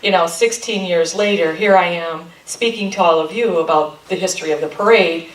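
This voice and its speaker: A woman's voice: fairly low pitch, normal speed, far from the mic. A middle-aged woman from Michigan, a chamber of commerce president giving a friendly, rambling talk to a local group, with many ums. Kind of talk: informal presentation to a local group. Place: meeting room. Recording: echoey room recorded from afar.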